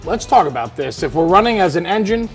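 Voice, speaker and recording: A man's voice: sits high, big pitch swings, brisk, faint music behind. A man hosting a walk-around interview, conversational, prompting his guest and summarizing technical details. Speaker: interviewer and host.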